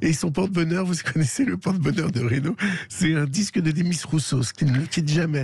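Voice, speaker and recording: A man's voice: on the high side, fast talker, gravelly, a little mellow, husky, no room sound, clean studio sound. A man with a French accent from France, giving a conversational light news review as a radio columnist.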